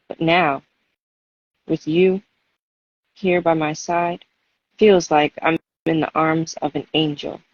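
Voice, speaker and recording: Woman, husky, gravelly edge, expressive measured delivery, close dry lo-fi recording. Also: low voice, no echo. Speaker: poet and guest reader. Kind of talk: spoken-word poetry reading and casual chat. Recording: dull, lo-fi audio.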